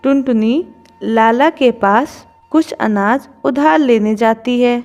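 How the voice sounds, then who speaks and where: A woman's voice: fairly high pitch, takes it slowly, a little mellow, highs cut off, soft background music. A woman narrating a scripted children's story as its storyteller.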